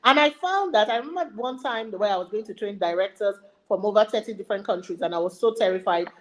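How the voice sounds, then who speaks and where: A woman's voice: medium pitch, quick pace. A woman with a Nigerian accent giving an emphatic motivational webinar talk, repeating her key phrases for effect. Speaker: learning and development speaker.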